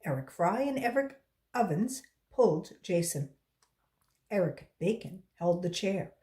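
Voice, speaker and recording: Woman, volunteer children's story reader. Slow pace, animated pitch, emphatic storytelling. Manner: acts out dialogue with emphasis. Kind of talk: expressive read-aloud for children.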